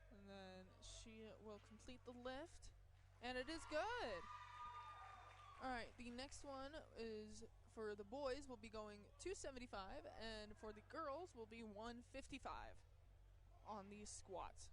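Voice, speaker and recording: A woman announcing a school powerlifting meet live, calling lifts in a halting way with pauses and fillers, her voice strained from yelling the day before. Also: on the high side, lively intonation, background noise.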